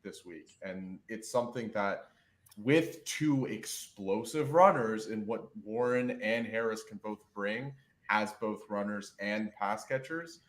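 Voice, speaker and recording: A man's voice: lowish voice, reverberant. A man giving earnest, detailed football analysis on a casual podcast. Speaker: podcast guest.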